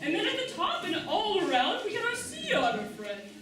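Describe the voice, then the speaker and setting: High-voiced, echoey manta ray teacher played by a woman. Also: wildly swooping pitch, a little fast, heavily acted. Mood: cheerful, encouraging. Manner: enthusiastic, encouraging, projecting to children. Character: upbeat manta ray schoolteacher leading young ocean explorers. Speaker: puppet show teacher and presenter. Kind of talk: interactive children's puppet show lesson. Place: large echoey room with live audience. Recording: echoey hall, distant, audience crowd behind.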